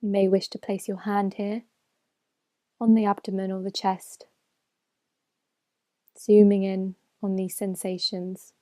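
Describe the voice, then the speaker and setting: Soothingly mellow woman guiding meditation. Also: medium pitch, little pitch movement, dry recording. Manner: gentle, measured, unhurried instruction with soft phrase endings. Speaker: mindfulness meditation teacher. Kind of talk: slow guided meditation narration.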